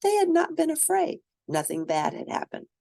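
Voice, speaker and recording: A woman reading a children's story aloud and discussing it in an expressive, teacherly manner. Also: sits high, moderate pace, vocal fry, no room sound, studio quality. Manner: expressive, teacherly, asks questions of listeners. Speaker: storybook reader.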